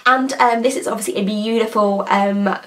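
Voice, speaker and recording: A young woman's voice at an ordinary middle pitch, chatting eagerly to camera as a beauty vlogger. She rambles a little, trails off and restarts her thoughts, and keeps an easy, friendly flow. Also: fairly even intonation, fairly quick, a bit bright. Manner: chatty, enthusiastic, rambling with hesitations. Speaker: nail polish vlogger. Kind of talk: casual chat to camera.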